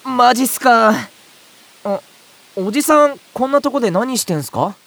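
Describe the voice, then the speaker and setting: Excitable young boy, audio drama, played by a woman. High, very animated, rambling comic grumbles. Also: normal speed, creaky. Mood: flustered. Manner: exclamatory, rambling comic complaints. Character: excitable, scatterbrained young boy, loudly self-pitying. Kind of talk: audio drama acting with first-person narration.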